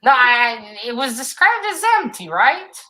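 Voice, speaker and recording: A woman's voice: a little high, very animated, measured pace, a loud crowd. A woman in a casual book discussion, questioning and insistent as she argues her reading. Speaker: discussion panelist.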